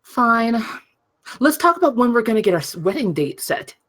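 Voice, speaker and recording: Woman reading as a lively, nagging fiancée. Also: on the high side, normal speed, breathy edge, full character voice. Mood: nagging, suspicious. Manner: pointed, insistent. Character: nagging, suspicious fiancée set on her wedding. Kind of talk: table read of a comedy script.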